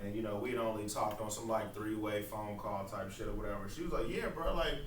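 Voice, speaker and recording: A man's voice: lowish voice, a little hiss or hum. A man with a nasal voice, talking in a laid-back, slangy way as a podcast guest. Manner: laid-back slangy talk, drawn-out trailing phrases. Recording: roomy echo from far off, faint ambience.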